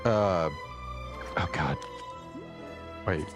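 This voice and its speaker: A man livestreaming in a casual, halting, rambling way, swearing offhandedly as he chats. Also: deep voice, music in the background. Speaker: live streamer.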